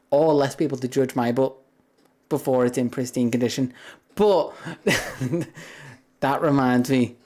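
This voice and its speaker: A young man with a deep voice talks loosely to his viewers on a livestream. He rambles from one thought to the next and breaks into laughter often. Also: slow pace, long pauses, darkish tone. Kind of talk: casual chat with viewers.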